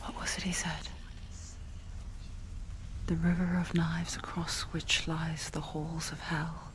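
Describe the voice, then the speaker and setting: An actress playing an eerie narrator inside a haunted mind in a dark fantasy game, speaking in a hushed, ominous, intimate way. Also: female voice, sits low, flat delivery, takes it slowly, noisy background. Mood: grave. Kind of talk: scripted dramatic game narration.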